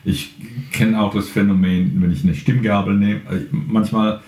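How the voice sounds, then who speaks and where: A man with a slightly low voice talks in a casual podcast discussion. He explains things reflectively. The recording has a clear roomy echo. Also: flat delivery, moderate pace, a little mellow. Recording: roomy, echoey room sound.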